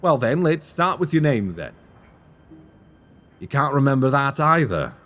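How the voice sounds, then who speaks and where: Man acting a flustered, cheeky young fantasy rogue, animated. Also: medium pitch, a little slow, soft background music. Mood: curious, chatty. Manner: rambling, flustered, colloquial banter. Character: cheeky, panicky young rogue trapped in another's mind. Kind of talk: fantasy audio roleplay acting.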